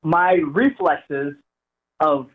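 A man's voice: a little high, drawn-out words. Young man on a tinny phone line. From Jamaica, raised in Florida. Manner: relaxed, rambling, repeats words. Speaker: guest fighter. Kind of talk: phone-in interview.

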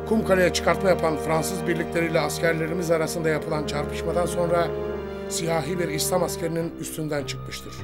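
A man playing a dignified, humane older army commander in a war cartoon, speaking in a solemn, formal, measured way. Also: on the high side, close to an everyday voice. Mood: grave, sorrowful. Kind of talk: cartoon war drama dubbing. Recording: music playing under the voice. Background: background music.

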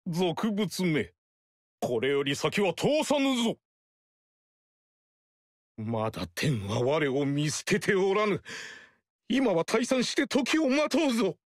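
A fanatical old sorcerer-preacher. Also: male voice, high voice, very animated, slow, long pauses, no room sound, studio quality, full character voice. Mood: zealous. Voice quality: bellowing, sermon-like proclamations. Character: fanatical older male sorcerer-preacher invoking heaven. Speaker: game character. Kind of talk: game battle voice lines.

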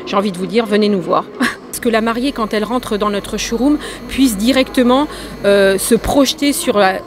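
A woman organizing a wedding fair, answering quickly and enthusiastically into a handheld mic, with many fillers. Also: mid-range voice, music in the background. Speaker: event organizer and shop owner. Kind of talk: interview answer. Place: indoor exhibition hall.

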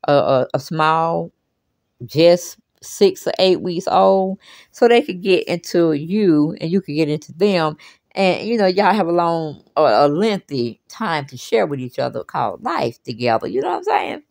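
A woman's voice: on the low side, lively intonation, a little slow, creaky, darkish tone, dry recording, studio quality. An American woman giving casual commentary as a host, reading an article aloud with chatty, folksy asides. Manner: chatty, folksy, exclaiming asides and repeated check-in phrases. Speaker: host commenting on celebrity news.

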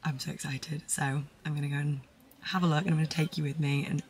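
Low-pitched young British woman vlogging in a car. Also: little pitch movement, fast talker, recorded from a distance, background noise. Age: 20s. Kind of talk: casual chat to camera. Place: inside a car.

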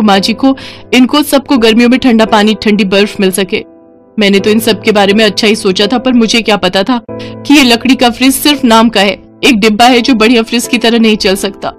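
A woman's voice: fairly high pitch, steady tone, a little fast, soft background music, lightly acted. A woman voicing a kind, worried young housewife and mother, earnest and plaintive, in a cartoon story. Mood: dejected.

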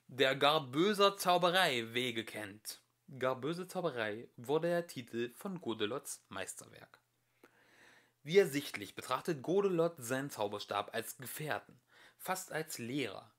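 A man reading a scripted audiobook as an amateur narrator, with expressive, varied emphasis. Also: mid-range voice, measured pace.

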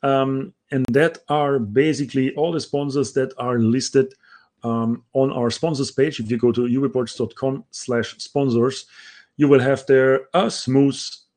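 A man's voice: medium pitch, steady tone, unhurried, a little mellow. A middle-aged man from Austria with an Austrian German accent, co-hosting a casual video call chat, talking in a chatty way with many fillers. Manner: chatty, many um fillers, reads names out carefully. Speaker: co-host. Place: home room with bookshelves. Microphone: video call with earbud.

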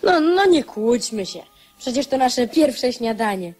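A restless young boy in a radio drama. The voice is high, with a cheeky, grumbling delivery that suits a teasing friend who cannot sit still. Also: sing-song, a little slow, darkish tone, slightly rough, exaggerated voice. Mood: apologetic.

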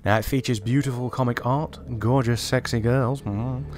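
A man's voice: medium pitch, steady tone, normal speed, background noise. A man hosting a gameplay video, commenting and reading aloud in voice-over as a reviewer. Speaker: video game reviewer and host. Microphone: voice-over recorded over gameplay. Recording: music behind the voice.

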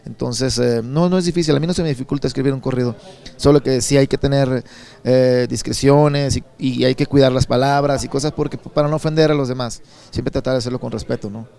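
A Mexican man in his thirties from Sinaloa, answering interview questions at an indoor expo booth into a handheld mic, relaxed and rambling with many repeated words. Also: medium pitch, a little fast, vocal fry. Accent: Sinaloan Mexican Spanish. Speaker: songwriter and singer.

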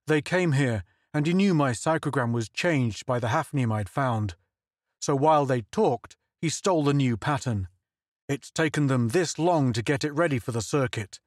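A man's voice: mid-range voice, normal speed, no echo, studio quality, natural voice. A man voicing a hunted, determined man who speaks in an urgent, fierce tone in an audiobook. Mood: strained. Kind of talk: audiobook character voice.

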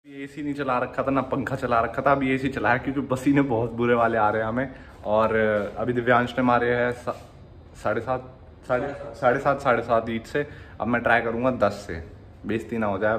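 A young man talks straight into a phone camera in a big indoor gym and keeps his chat loose and joking between sets. His voice sits at an ordinary pitch for a man. Steady gym noise hums behind him the whole time. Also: fairly quick. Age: young adult. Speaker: vlogger. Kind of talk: casual vlog chat.

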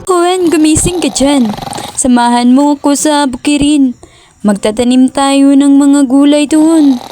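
A woman's voice: high voice, measured pace, dark tone, a little hiss or hum. A woman voicing a protective, doting mother in a cartoon story who nags and coaxes her son. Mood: urging. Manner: nagging, urging and coaxing.

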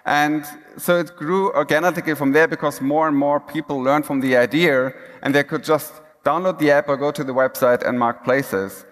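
A man's voice: on the high side, steady tone, normal speed, hardly any pauses. A man giving a casual keynote talk on a conference stage, with frequent restarts. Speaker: keynote speaker. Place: large conference hall stage. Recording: reverberant hall.

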